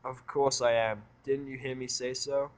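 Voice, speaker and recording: A man playing a grumpy, defensive, sarcastic character with a gruff, exasperated, blunt manner. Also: mid-range voice, flat delivery, normal speed, close to an everyday voice.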